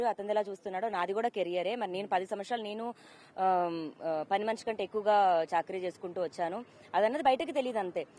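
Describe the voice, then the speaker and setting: A woman's voice: mid-range voice, darkish tone. A woman, giving a personal account as an interview guest and mixing in English words. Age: 30s. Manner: code-mixes English words into her talk.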